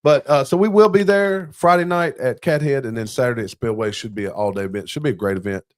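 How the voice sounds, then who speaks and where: A man's voice: mid-range voice, quick pace. A man hosting a live podcast in an informal, conversational way, bantering casually. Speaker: podcast host.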